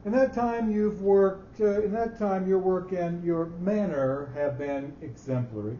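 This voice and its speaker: A man playing an elderly, hesitant and fussy company boss, halting and formal, in a staged dramatic reading. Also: high-pitched, dark tone. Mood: grave, awkward. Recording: roomy, muffled live recording with hiss.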